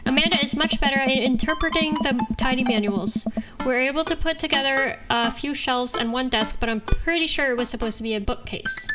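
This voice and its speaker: A woman with a very high voice narrates a video game playthrough. She reads the story text aloud and drops in playful, sardonic asides. Loud game music plays behind her. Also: brisk. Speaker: game streamer.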